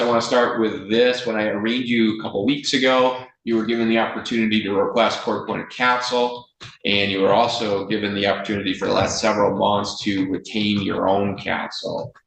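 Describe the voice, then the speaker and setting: A man with an American accent presiding as a judge, speaking formally for the record in a deliberate, firm and measured way. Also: lowish voice, little pitch movement, a bit bright. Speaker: judge presiding over a court hearing. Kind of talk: formal courtroom speech on the record. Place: indoor courtroom. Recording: roomy echo, faint noise, courtroom audio.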